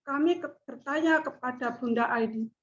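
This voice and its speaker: A middle-aged woman giving a formal class presentation in measured, deliberate speech. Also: high voice. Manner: slow, measured speech with chanted recitation. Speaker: student presenter. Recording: dull lo-fi audio.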